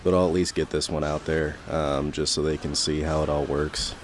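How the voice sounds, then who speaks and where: A young man commentating his gameplay in a casual, rambling way full of fillers, his voice a little raspy from talking so long. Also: a bass voice, little pitch movement, moderate pace, a little hiss or hum. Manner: rambling, many fillers and restarts.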